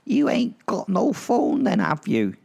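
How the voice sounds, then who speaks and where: Man putting on a shrill, coarse streetwalker. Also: huge pitch swings, slow, creaky, darkish tone, full character voice. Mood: haggling. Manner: coarse slangy begging and haggling, blunt. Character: worn-out streetwalking mother in her twenties, coarse, insistent.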